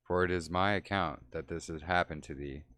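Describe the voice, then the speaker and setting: A man's voice: low voice, moderate pace, fairly bright tone. A man reading the lines of a grieving, guilt-stricken woman in archaic speech.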